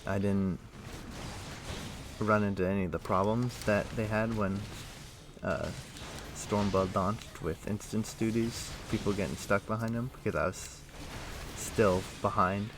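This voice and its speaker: A man livestreaming a game, chatting casually and thinking aloud in a rambling, halting way. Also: fairly low pitch, steady tone, noisy background. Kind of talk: casual chat while playing an online game. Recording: music playing behind. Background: music.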